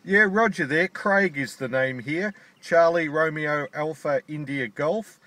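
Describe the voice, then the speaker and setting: A man's voice: a little high, unhurried, pushed and bright, no room sound. Australian man on a ham radio. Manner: friendly, radio procedure with call signs and "over". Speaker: amateur radio operator. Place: sitting in his car at a park.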